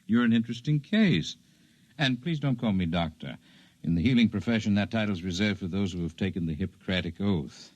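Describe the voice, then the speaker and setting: Cool, enigmatic healer, dull old recording. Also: male voice, on the low side, expressive, brisk, darkish tone, dry recording. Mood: suavely courteous. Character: cool, enigmatic male unorthodox healer, unbothered and businesslike. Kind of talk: 1950s radio drama acting. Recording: dull, dry vintage radio recording.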